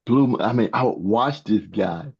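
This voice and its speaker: An older man in his sixties or beyond, answering interview questions as a guest author in a halting way, often repeating words. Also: fairly low pitch, animated, slightly rough. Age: 60s or older. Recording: dull, muffled lo-fi sound.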